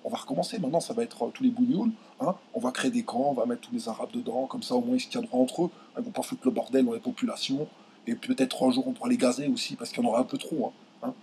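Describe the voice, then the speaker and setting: A young man from France ranting straight to his viewers, indignant and heated, stumbling over his words and pushing his voice up high when he gets angry. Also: fairly even intonation, quick pace, warm tone, a little hiss or hum. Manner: indignant, voice jumps high when outraged, many restarts. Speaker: video commentator. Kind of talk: heated direct-to-camera rant.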